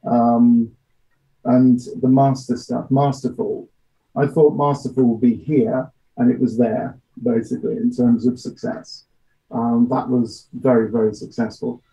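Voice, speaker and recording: A middle-aged man giving measured, matter-of-fact interview answers with hesitations over a video call from a small office. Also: medium pitch, level tone, dark tone. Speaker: audio drama producer being interviewed. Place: small home office, indoors. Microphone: computer mic on video call. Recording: dull, lo-fi video-call audio.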